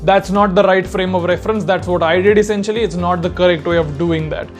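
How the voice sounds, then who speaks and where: A man's voice: high voice, fairly even intonation, fast talker, faint music behind. A young man giving a casual, explanatory product review in an informal way. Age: young adult. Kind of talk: casual spoken review to camera.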